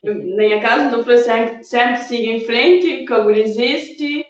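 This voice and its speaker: A woman with a fairly high voice gives casual words of encouragement as a guest. She sounds far from the microphone in a strongly reverberant room, and the audio is somewhat dull. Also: moderate pace. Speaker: interview guest. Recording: very echoey, muffled, distant mic.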